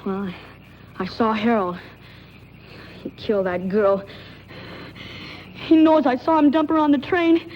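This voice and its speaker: A woman's voice: on the high side, fairly even intonation, unhurried, long pauses, close to an everyday voice. A woman playing a frightened young boy, pleading and tattling in an urgent way, in 1940s radio thriller style. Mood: scared, confessing. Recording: dull, noisy 1940s radio recording.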